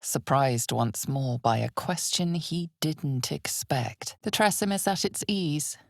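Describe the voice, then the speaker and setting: Gravelly, ominous fantasy game narrator. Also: female voice, low voice, dry recording, clean studio sound, exaggerated voice. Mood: grave storytelling. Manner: measured, deliberate, weighty pauses, sometimes dropping to near whisper. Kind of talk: dramatic scripted fantasy game narration in second person.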